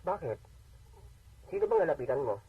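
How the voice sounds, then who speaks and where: A man's voice: mid-range voice, sing-song, a little fast. A man acting a friendly, sympathetic recruitment agency staffer in a conversational radio drama style. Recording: muffled, husky-sounding AM radio playback.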